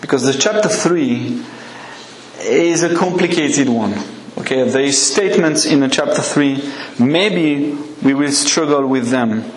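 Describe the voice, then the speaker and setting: A French man preaching in English with a French accent, his speech easy and conversational as he puts questions to the congregation. His voice sits at an ordinary middle pitch. It rings around a roomy church, with a faint murmur of ambience behind. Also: unhurried, a little hiss or hum. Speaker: guest preacher. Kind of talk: evening sermon with a live congregation.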